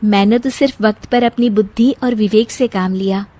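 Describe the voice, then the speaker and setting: A woman's voice: mid-range voice, normal speed, mellow, no echo, lightly acted. A woman voicing a dutiful, sensible young daughter with an earnest, comforting manner in a moral story. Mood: gentle. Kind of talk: Hindi moral story dramatization.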